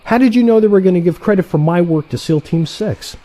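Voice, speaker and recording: A man playing an excitable, cocky younger assassin in an audio play, talking in an animated, wheedling, sarcastic way. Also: high voice, a little fast, close to an everyday voice. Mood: apologetic, chatty. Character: excitable, cocky younger assassin, male trainee turned rival.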